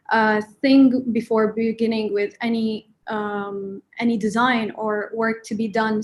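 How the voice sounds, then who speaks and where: A woman moderates an online panel in a polite way, with the odd filler. Her voice sits a little high.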